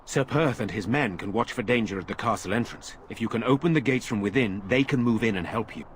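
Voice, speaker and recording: An actor playing an earnest, resolute noble lord giving orders in a video game. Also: male voice, lowish voice, a little fast, slight background noise, close to an everyday voice. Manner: earnest, steady, commanding.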